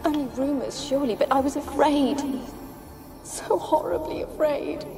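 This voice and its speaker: A woman's voice: high voice, normal speed, creaky. An actress playing the lonely, haunted ghost of a young noblewoman in a video game, with a plaintive, dramatic delivery. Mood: anguished, fearful. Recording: loud music under the voice. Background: game soundtrack music.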